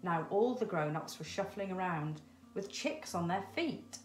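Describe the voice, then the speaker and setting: A middle-aged woman reads a children's story with expression. Her voice is fairly low, and the room adds a clear echo. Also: animated, measured pace, noisy background. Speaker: storybook reader. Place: small room at home, indoors. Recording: echoey domestic room.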